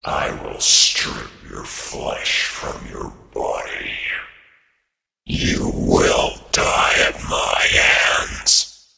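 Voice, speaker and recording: A man voicing a sadistic demonic entity for a horror game, a taunting god of death with a breathy growl and a slow, menacing, theatrical delivery. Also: pushed and bright, heavily acted. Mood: threatening. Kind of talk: horror game villain voice lines.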